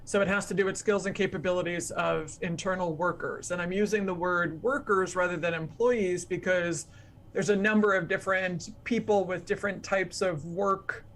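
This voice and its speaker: A woman's voice: lowish voice, fairly bright tone. A woman speaking as an expert guest, explaining things step by step and defining her terms as she goes. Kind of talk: explanatory interview talk.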